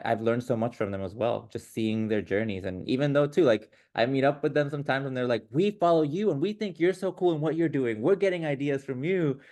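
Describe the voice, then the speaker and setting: A man's voice: medium pitch, a little mellow. Man, podcast guest, casual chat, enthusiastic, filler words.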